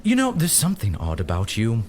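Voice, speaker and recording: An actor playing a bitter, proud older English knight in an audio drama, scornful and menacing in manner. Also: male voice, on the low side, huge pitch swings, brisk, darkish tone, a little hiss or hum. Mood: menacing, contemptuous. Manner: scornful, theatrical, swinging from bluster to menace.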